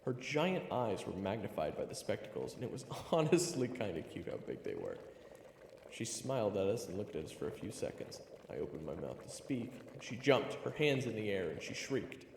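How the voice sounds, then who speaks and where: A man playing an excitable, sarcastic young adventurer who tells his story in a fantasy audio roleplay. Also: on the low side, normal speed, vocal fry, echoey room, big-room sound, a little hiss or hum, heavily acted, low-quality recording. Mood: narrating. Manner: expressive, sarcastic, jumpy. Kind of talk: first-person narration and audio roleplay acting.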